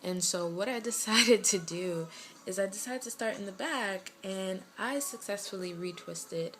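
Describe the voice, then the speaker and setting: A woman's voice: medium pitch, unhurried, a bit bright, a little hiss or hum. A woman in her twenties with a slight West Indian-tinged New York accent, chatting casually to camera from her bedroom, rambling with lots of fillers and self-corrections. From Brooklyn, New York. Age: 20s. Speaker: vlogger. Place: bedroom at home.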